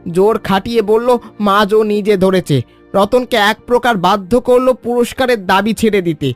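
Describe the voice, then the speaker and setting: High-voiced loyal, blunt village uncle, acted by a man. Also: moderate pace, soft background music, natural voice. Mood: aggrieved. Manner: blunt, exclaiming, aggrieved. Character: loyal, indignant village uncle, protective of young friend. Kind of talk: animated Bengali children's story acting.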